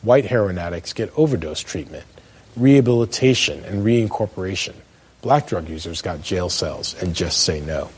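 An American man in middle age reading a scripted spoken essay as a commentator, with emphatic, measured phrasing. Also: sits low, expressive, a little mellow, slightly rough. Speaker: commentator, law professor.

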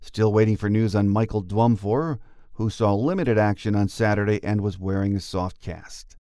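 A man's voice: lowish voice, fairly even intonation, normal speed, mellow, no room sound. A middle-aged or older man hosting a sports podcast, moving between talk and scripted bulletins. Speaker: podcast host.

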